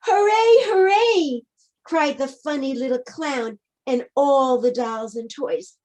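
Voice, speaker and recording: A woman reading a storybook to young children, expressive and deliberate, with dramatic emphasis. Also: high-pitched. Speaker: children's book reader.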